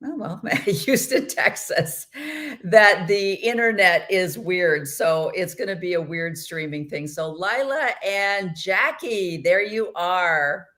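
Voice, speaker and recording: Middle-aged lady host on a video call, lowish voice, talks loose and easy. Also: animated, slow pace, a bit bright. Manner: chatty, laughs easily, rambling. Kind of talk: casual video-call interview.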